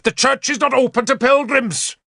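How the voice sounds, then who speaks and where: A man's voice: high-pitched, unhurried, a bit bright, dry recording, clean studio sound, voice pushed up. A man voicing an indignant, pleading, melodramatic bishop in an audiobook story. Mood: furious. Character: shrill, sly, hysterically pious male bishop.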